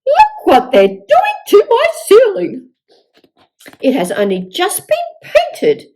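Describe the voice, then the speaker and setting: Woman reading as fussy, high-voiced house-proud wife. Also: huge pitch swings, slow pace, mellow, exaggerated voice, low-quality recording. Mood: indignant.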